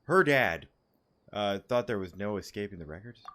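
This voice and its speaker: A man's voice: lowish voice, expressive, moderate pace, fairly bright tone. A man giving casual reaction commentary over a game, in an informal, slangy way. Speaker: gaming YouTuber. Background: game music.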